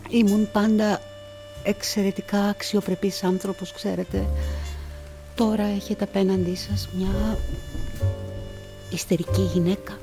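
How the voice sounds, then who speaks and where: A woman's voice: mid-range voice, level tone, long pauses, over music, close to an everyday voice. An actress playing a middle-aged, newly widowed mother in a radio play, formal, composed and guarded. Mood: strained dignity.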